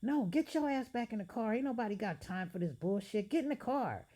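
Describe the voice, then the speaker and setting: A woman voicing an angry young woman who shouts and curses at a rival. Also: fairly high pitch, lively intonation, fairly quick, pitched above the performer's own voice. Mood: furious. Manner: shouting, profane.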